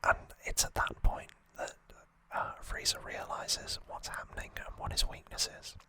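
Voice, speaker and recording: An ASMR host speaking in a soft, breathy, unvoiced whisper, explaining things in a gentle, hesitant way as in a relaxed recap. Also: moderate pace, rough recording.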